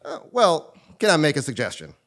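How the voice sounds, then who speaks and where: A man playing an eccentric, melodramatic fortune-telling sage in a comic play on stage, with drawn-out pondering and sudden cries. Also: on the high side, wildly swooping pitch, a bit bright. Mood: confiding. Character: eccentric, theatrical self-styled male sage and fortune teller. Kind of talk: comic stage acting before a live audience. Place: theatre stage, live performance.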